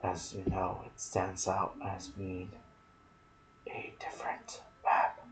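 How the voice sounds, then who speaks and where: A soft-spoken man hosting an ASMR video about game lore, with a hushed delivery that slips into whispers. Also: low-pitched, monotone, takes it slowly, low-quality recording. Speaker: video host and gaming content creator.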